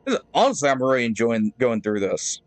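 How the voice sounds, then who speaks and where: A man streaming a game live, thinking aloud with pauses and fillers and chatting to his viewers. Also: medium pitch, sing-song. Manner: friendly sign-off to viewers. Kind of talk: live gameplay commentary.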